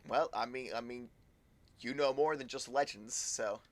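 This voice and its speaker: Halting, stammering man streaming a game. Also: mid-range voice. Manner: halting, stammering, repeats words. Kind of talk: casual live game commentary.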